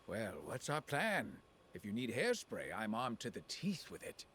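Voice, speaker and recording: Swooping, blustering comic old demon. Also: male voice, on the low side, wildly swooping pitch, measured pace, fairly bright tone, slight background noise, full character voice. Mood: blustering, eager. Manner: hesitant, blustering. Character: hapless, boastful old male demon thief, comic sidekick. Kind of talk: video game cutscene voice acting.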